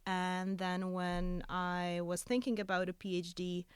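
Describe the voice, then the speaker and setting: Young woman, Croatian accent, smooth resonant tone, eager researcher guest, dry recording. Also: fairly low pitch, little pitch movement, a little slow, no echo. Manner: earnest, eager, slightly broken English phrasing. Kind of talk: casual interview talk.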